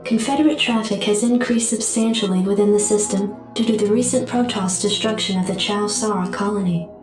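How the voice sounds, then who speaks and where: A calm, formal computer adjutant who reads out briefings and alerts, as a woman acts her out. Her voice sounds very echoey and set back from the mic, with music underneath. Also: mid-range voice, a little fast, lightly acted. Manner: formal, even announcements. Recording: echoey distant game audio with music.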